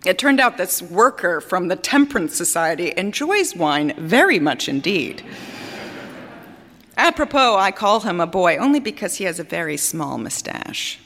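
In a reverberant hall, a vain, capricious female opera singer delivers a theatrical monologue. Her voice is on the low side and swoops widely in pitch. She speaks grandly and deliberately, pausing for effect, scornful and adoring by turns. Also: very animated, fairly bright tone, a little hiss or hum. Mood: fond reminiscence. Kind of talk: theatrical dramatic monologue before an audience. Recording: reverberant hall stage recording.

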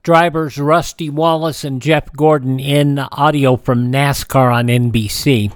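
An American man hosting a radio show, reading a history rundown informally, with halting asides. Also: mid-range voice, steady tone, no room sound, clean studio sound, soft background music. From Kansas, United States.

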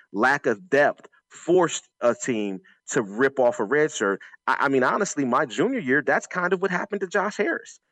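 A man's voice: mid-range voice, fairly bright tone, no room sound. A man giving conversational sports analysis as an announcer and former player, emphatic, repeating phrases and drawing on anecdotes. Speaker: sports announcer and former college running back.

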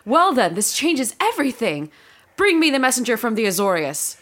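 A woman's voice: on the high side, sing-song, moderate pace, fairly bright tone. A woman voicing a theatrical, melodramatic queen of the underworld in a comedic audio story. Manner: melodramatic, sighing. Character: dramatic gorgon queen, theatrical and moody.

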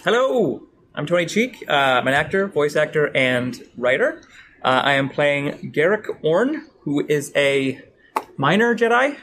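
Slow-talking man with a lively voice. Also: fairly high pitch, a little hiss or hum. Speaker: actor and player. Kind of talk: casual chat.

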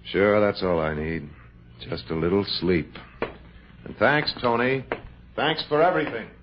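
An actor playing a bitter, drunken washed-up film actor with a gun, menacing and sarcastic, in 1960s radio drama style. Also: male voice, on the low side, unhurried, background noise, natural voice. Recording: muffled old radio broadcast.

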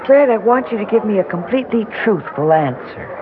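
An actress playing an earnest, persistent American welfare investigator, polite but stubborn, in a 1960s radio drama. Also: female voice, medium pitch, lively intonation, fairly quick, phone-quality sound, crowd noise, close to an everyday voice. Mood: probing.

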